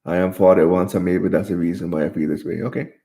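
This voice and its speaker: A man's voice: deep voice, steady tone, fast talker, vocal fry, mellow, reverberant. A man from Jamaica hosting a live stream, reading viewer comments aloud and answering them in casual chat.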